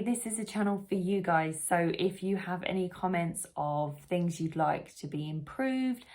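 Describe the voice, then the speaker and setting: A woman talking informally and conversationally as the host of a children's read-aloud channel. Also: lowish voice. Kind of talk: casual chat to camera for parents.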